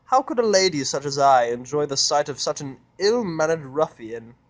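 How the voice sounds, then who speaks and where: A man voicing a prissy, haughty, refined female unicorn with affected, drawn-out speech for a story reading. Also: on the high side, very animated, pitched above the performer's own voice. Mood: disdainful, huffy.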